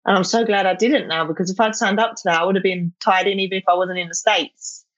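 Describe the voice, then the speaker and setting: A woman's voice: medium pitch, steady tone, quick pace, a bit bright, dry recording, dull audio. A young woman with a South East London accent, chatting casually as an interview guest, full of fillers and restarts. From England.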